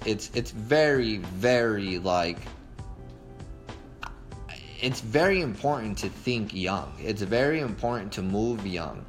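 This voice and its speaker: A man in his thirties giving an emphatic motivational talk straight to his viewers, repeating his points and using casual slang. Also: lowish voice. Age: 30s. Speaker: vlogger.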